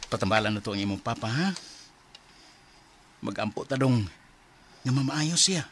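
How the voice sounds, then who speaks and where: A man acting a kind, devout benefactor in a radio drama, speaking in a warm, reassuring, expressive way. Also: medium pitch, moderate pace, a little hiss or hum, lightly acted. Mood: earnest, reassuring. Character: kind, generous, devout male benefactor, gently encouraging.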